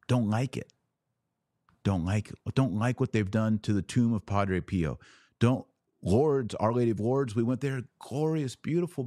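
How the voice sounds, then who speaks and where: A man's voice: mid-range voice, a little mellow, no echo, clean studio sound. A man hosting a podcast, giving unscripted religious commentary in an emphatic way, repeating phrases for weight.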